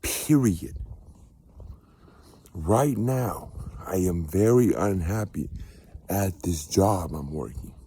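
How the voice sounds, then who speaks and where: An American man with a fairly low, mellow voice and a husky edge. He delivers a casual motivational testimony, emphatic and preachy, circling back on phrases to drive them home. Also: animated, takes it slowly, pauses often. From United States. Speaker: spiritual vlogger.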